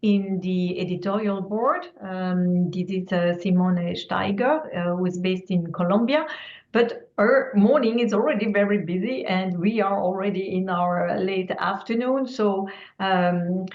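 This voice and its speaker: A woman's voice: medium pitch, unhurried. A woman moderating an online meeting, hesitant with many fillers as she hands the floor to speakers. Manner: hesitant, filler-heavy, handing over the floor. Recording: echoey, dull lo-fi audio.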